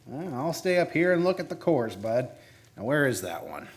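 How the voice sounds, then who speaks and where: A man's voice: medium pitch, very animated, moderate pace, lightly acted. An American man playing a skinny, nervous, sheepish oil field geologist in a radio play, speaking hesitantly with stammers. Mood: musing, casual.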